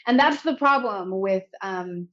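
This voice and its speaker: A woman's voice: mid-range voice, lively intonation, unhurried, fairly bright tone, lo-fi sound. An American woman, a health company founder, speaking earnestly and articulately as an interview guest. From United States. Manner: earnest, articulate, with light laughs. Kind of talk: interview conversation.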